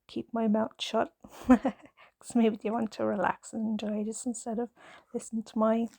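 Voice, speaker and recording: An Irish woman in her thirties with a husky voice, talking gently and hesitantly in a soft ASMR chat at home, into a clip-on mic. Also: on the high side, little pitch movement, a little slow, no room sound, clean studio sound. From Ireland. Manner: gentle, hesitant, nervous, with many fillers. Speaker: knitting ASMR creator, mother. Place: home, in pajamas. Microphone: clip-on mic on pajamas.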